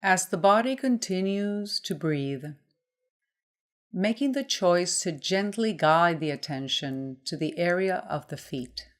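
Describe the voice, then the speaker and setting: A woman guides a mindfulness body scan at a very slow pace. Her voice sits a little low for a woman. She gives gentle instructions in measured phrases, leaving pauses between them. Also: animated.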